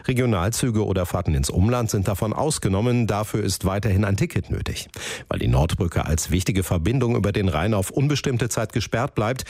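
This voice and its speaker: A man's voice: lowish voice, darkish tone, no echo, studio quality. A man with a standard German accent reading scripted radio news as the presenter. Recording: music bed behind the voice. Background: music.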